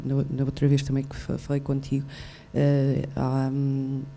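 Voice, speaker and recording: A woman moderates a film festival panel and puts questions to the directors. She talks slowly and haltingly, with many tag questions. Her voice is very dark and gravelly, with a flat delivery. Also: rumbling low, a little hiss or hum.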